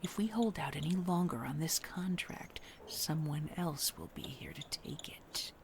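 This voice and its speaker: A woman playing a submissive younger vampire sibling in an audio drama, with a husky, breathy, hesitant voice. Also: sits low, expressive, measured pace, very noisy. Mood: impatient, hushed. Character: cowed, pleading vampire younger sibling, resentful. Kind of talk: dramatic audio roleplay acting.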